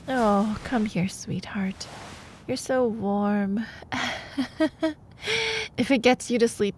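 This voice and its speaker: A woman acting as a sweet, playful girlfriend with a fairly high voice and a very unhurried pace. She sounds expressive and opinionated, in an intimate ASMR bedtime roleplay. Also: slight background noise. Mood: tender, soft. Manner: expressive, exasperated asides between measured reading. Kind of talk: intimate ASMR roleplay, storybook reading with commentary.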